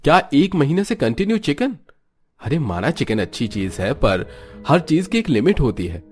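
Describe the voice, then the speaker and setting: A man's voice: a little high, very animated, moderate pace, faint music behind. A man voicing a stern family doctor who speaks firmly and gives advice in a cartoon story. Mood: admonishing. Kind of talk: Hindi cartoon story dialogue.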